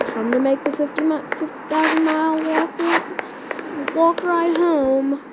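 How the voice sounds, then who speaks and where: Slow, high-voiced woman, noisy lo-fi recording. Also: steady tone. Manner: halting, repeats words while searching for phrasing. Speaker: amateur creator voicing a homemade emergency scenario. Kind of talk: improvised amateur skit and mock emergency announcement. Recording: dull lo-fi audio with loud background noise.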